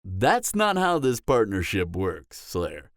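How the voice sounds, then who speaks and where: A man's voice: on the low side, wildly swooping pitch, dry recording, studio quality, heavily acted. A man playing a cocky, flirtatious and dangerous vampire in an audio roleplay, teasing the listener with a smug, seductive delivery. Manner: teasing, smug, seductive drawl with dramatic pauses. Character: cocky, flirtatious, dangerous adult male vampire, former killer.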